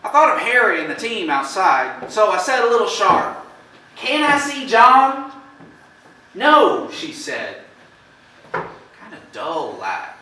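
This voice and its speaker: A man acting a plain-spoken farmer in an amateur stage play, telling his story in a folksy, halting way and dramatizing the quotes. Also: high-pitched, big pitch swings, bright, forceful tone, heavily acted. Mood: shaken, animated. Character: plain-spoken neighboring farmer recounting a shocking visit. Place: theatre stage, indoors. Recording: echoey stage from far off, faint noise.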